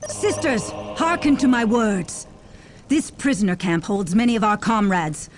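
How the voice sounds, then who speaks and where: An actress playing a devout, fierce warrior nun commander in a video game, solemn and declamatory. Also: female voice, mid-range voice, big pitch swings, measured pace. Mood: rousing resolve. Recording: battle noise behind the voice.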